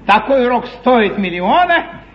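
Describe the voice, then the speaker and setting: High-pitched, theatrical scheming English lord. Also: male voice, big pitch swings, measured pace, heavily acted. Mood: courtly irony. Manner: courtly, ironic, declamatory. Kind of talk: 1950s classical stage comedy acting. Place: theatre stage before an audience. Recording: dull, noisy 1953 theatre stage recording.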